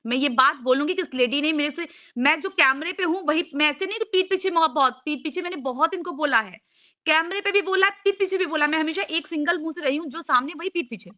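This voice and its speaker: High, bright-voiced young woman pleading. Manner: earnest, insistent, repetitive pleading. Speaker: live stream host. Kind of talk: casual live chat, pleading mediation.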